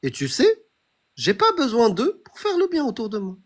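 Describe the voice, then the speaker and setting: A man's voice: extremely high pitch, wildly swooping pitch, normal speed. A young man hosting a debate, pressing his point insistently and addressing the other as a brother. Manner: challenging, insistent, calls the other "frérot". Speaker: host of a debate stream. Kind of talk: heated debate on religion.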